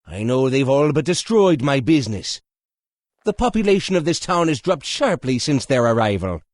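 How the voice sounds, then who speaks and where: An actor playing a stern, protective older tavern keeper in a video game, blunt and no-nonsense. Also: male voice, mid-range voice, big pitch swings, normal speed, a little mellow, no echo, studio quality, close to an everyday voice. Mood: gruff. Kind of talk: video game character acting.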